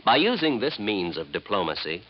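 Lively low-pitched male radio announcer. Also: moderate pace. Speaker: announcer of a public service message. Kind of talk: scripted, formal radio announcement. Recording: muffled old radio broadcast.

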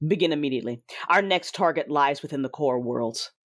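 An actor playing a cruel, vengeful Sith lord, narrating an audio drama in a deliberate, commanding, menacing way with a husky voice. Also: mid-range voice, fairly bright tone, lightly acted. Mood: cold commanding. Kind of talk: first-person audio drama narration with dialogue. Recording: dramatic music behind the voice. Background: music.

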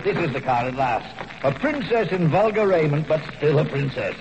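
A florid, self-important gentleman crook in a radio drama. His voice is rather high and very animated, with mannered, fussy phrasing. The old recording is clearly noisy. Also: moderate pace. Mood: grandiose. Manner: pompous, mannered, ornate, hesitant. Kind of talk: 1940s radio detective drama acting.

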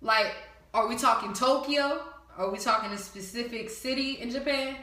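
A woman's voice: a little high, moderate pace, sharp tone, recorded from a distance. A woman from New Jersey interviewing a guest in a casual, slangy chat, laughing often and swearing freely. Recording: echoey room, voice from off-mic, lo-fi.

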